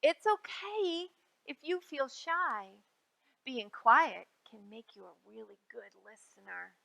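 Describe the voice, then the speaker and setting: Lady doing library storytime for little kids, high bright voice, slow and sing-songy, really stretches out the words. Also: big pitch swings, vocal fry, poor audio. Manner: sing-song, exaggerated emphasis with drawn-out phrases; prompts young listeners with simple questions. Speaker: children's librarian and storytime host. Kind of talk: read-aloud storytime for young children.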